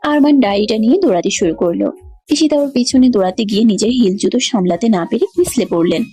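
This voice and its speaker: Casting: female storyteller, scripted romance narration, higher-pitched, light music bed. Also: lively intonation, fast talker, mellow, slightly rough.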